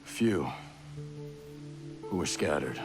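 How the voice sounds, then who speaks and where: An actor playing a stoic, determined special forces soldier in a video game, speaking in a terse, understated way. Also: male voice, rumbling low, expressive, takes it slowly, exaggerated voice. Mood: guarded relief. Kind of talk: video game cutscene acting. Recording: music playing behind.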